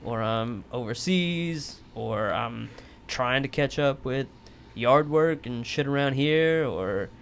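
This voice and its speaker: A man hosts his podcast with a loose, unhurried delivery and plenty of swearing. His pitch sits in an ordinary middle range. A faint hiss runs under the recording. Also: lively intonation, vocal fry. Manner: laid-back, swears freely. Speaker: podcast host. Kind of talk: casual chat.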